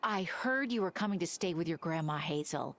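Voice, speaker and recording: A woman's voice: on the low side, expressive, a little fast. An actor playing a helpful, welcoming townswoman in a cozy video game, reassuring and friendly. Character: warm, helpful townswoman greeting an old acquaintance.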